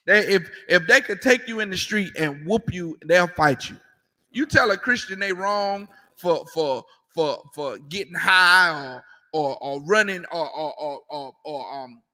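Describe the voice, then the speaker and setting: A man's voice: high-pitched, pushed and bright, long reverb tail. A man giving a confrontational sermon as a street preacher, emphatic and repetitive, with mocking asides.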